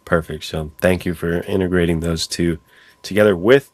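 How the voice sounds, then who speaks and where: A man's voice: low-pitched, steady tone, moderate pace, no echo. A man hosting a podcast, chatting casually and with enthusiasm about books. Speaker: podcast host. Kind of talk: casual podcast interview chat.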